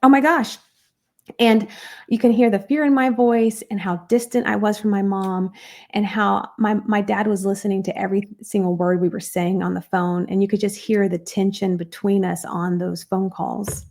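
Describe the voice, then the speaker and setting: A woman guest on a podcast, telling her life story in an earnest, animated way. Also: mid-range voice, normal speed.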